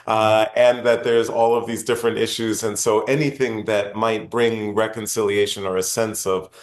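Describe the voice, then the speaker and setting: A man's voice: lowish voice, level tone, moderate pace. A man hosting an interview, asking long, earnest, winding questions. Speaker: podcast host, interviewer. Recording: roomy echo.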